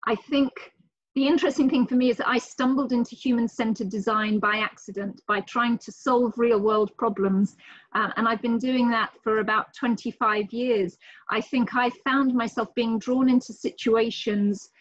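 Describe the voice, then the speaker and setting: A woman's voice: on the high side, fairly even intonation, normal speed, no room sound. A middle-aged woman from the UK with a British accent, speaking articulately and enthusiastically as a guest on a live video call. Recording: dull lo-fi call audio.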